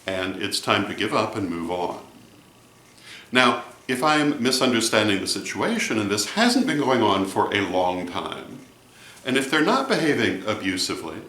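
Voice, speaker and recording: A man giving advice in a vlog monologue, speaking in a measured, deliberate way with emphatic phrasing. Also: on the low side, sharp tone. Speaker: vlog host and religious advisor. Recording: roomy, echoey sound.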